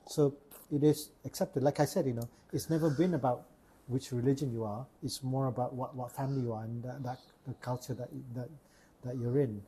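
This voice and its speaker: Husky Sarawakian man in easy podcast chat. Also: medium pitch, fairly even intonation, fairly quick, hardly any pauses, warm tone, rough recording. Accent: Malaysian (Sarawak). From Sarawak, Malaysia. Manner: hesitant, repeats words while searching for phrasing. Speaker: podcast host. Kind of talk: casual chat.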